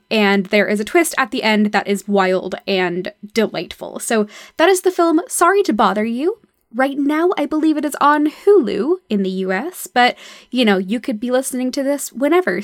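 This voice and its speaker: A woman with a fairly high voice presents a podcast recommendation segment. She addresses the listener directly with playful emphasis, in a dry, close recording. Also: no room sound.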